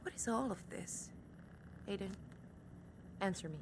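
Casting: wary female hacker in game drama, medium pitch, direct and questioning, noticeable noise behind. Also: lively intonation, unhurried. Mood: uneasy. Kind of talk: cinematic video game acting. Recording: clearly noisy background.

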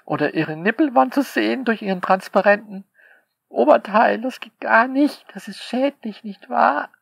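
A man's voice: very high voice, normal speed, vocal fry, slightly rough, dry recording. A man, the author, speaking a sarcastic, polemical monologue to his listeners and peppering it with rhetorical questions. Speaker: author reading commentary on his novel.

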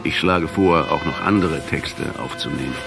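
An actor playing an accusing male intellectual in a radio drama, speaking in a deliberate, articulate way. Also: a bass voice, gravelly, over loud music. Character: accusing male intellectual, professor quoting history at the commander.

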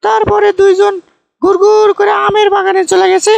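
Bossy, boastful cartoon auntie: piercingly high pitch, dry close sound, Bogra accent, folksy scolding delivery. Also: level tone, moderate pace, creaky, no echo, exaggerated voice. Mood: smug storytelling. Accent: northern Bangladeshi (Bogra). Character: bossy scolding village aunt, boastfully foolish, middle-aged woman. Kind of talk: comic cartoon dialogue, rambling storytelling.

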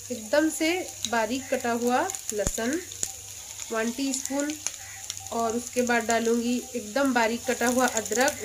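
A woman with a high, light voice talks through a recipe at her stove, chatty and patient as she explains each step. She is recorded in a home kitchen on a phone or camera. A faint noise floor sits under her voice, with frying and stirring sounds in the background. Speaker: cooking channel host. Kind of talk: step-by-step cooking instructions.

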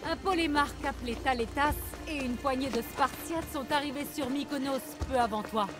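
High-voiced proud rebel leader played by an actress. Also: female voice, fairly quick, close to an everyday voice. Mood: steady. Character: proud, shrewd woman leader of an island rebellion. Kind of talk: video game voice acting in French. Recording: game dialogue over loud music.

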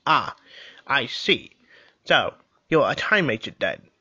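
Man as a probing, wry guest; slow and bright, lo-fi dry audio. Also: mid-range voice, sing-song, husky, no room sound, heavily acted.

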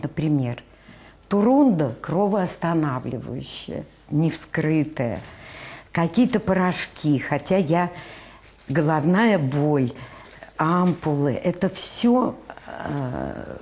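Slow, low-voiced older woman guide. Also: dark tone. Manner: hesitant, many filler sounds. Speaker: museum guide. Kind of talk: museum tour explanation. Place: museum room indoors. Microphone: lapel.

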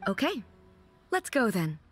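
A woman's voice: medium pitch, sing-song. A woman playing a regal, composed female ruler in a video game, formal, earnest and literal-minded. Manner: formal, measured, inquisitive. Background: game music.

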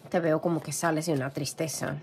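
A hoarse woman reading tarot cards in a casual, gentle way, clearing her throat often. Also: sits low, steady tone, fast talker, over music. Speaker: tarot reader. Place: indoor room with a tarot table. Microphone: camera over table, hands seen.

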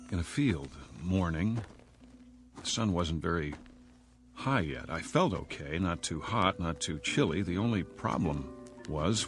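Deep-voiced, gravelly, bewildered man over music. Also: full character voice. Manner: halting, stammering, self-questioning. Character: bewildered amnesiac man, rambling and nervously chatty. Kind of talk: radio drama first-person monologue.

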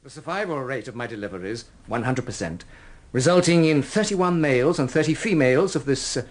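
An actor playing a methodical village doctor giving a formal clinical report in a radio drama. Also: male voice, mid-range voice, lively intonation, fairly quick, lightly acted.

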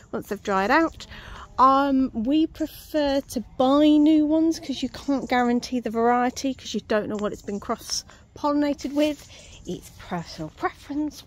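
A woman's voice: a little high, rough recording. Chatty woman touring an allotment. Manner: chatty, self-mocking, laughs easily. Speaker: allotment gardener vlogger. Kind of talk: casual walk-through chat. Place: outdoor allotment garden. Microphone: handheld phone camera, speaker off-screen. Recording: faint outdoor ambience.

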